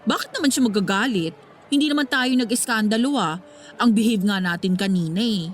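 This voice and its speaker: Woman acting level-headed mistress, mid-pitched, wry, conversational, Filipino radio drama, soft music underneath. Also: brisk, close to an everyday voice. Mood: breezy.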